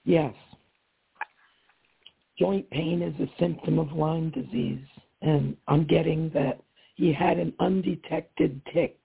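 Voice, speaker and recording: Slow, hoarse woman caller with a raspy voice. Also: sits low, fairly even intonation. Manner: slow, hesitant, many ums. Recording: tinny phone-line sound.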